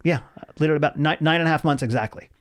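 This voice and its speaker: A man in his forties, a comedian guest telling rambling, animated stories in a casual podcast chat. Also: fairly high pitch, racing. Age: 40s.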